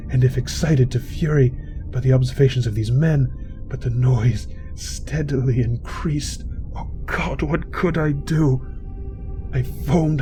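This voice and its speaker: A man's voice: mid-range voice, voice pushed up, natural voice. A man playing a mad, guilt-tormented murderer, raving frantically in a gothic horror monologue. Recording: loud music under the voice. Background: music.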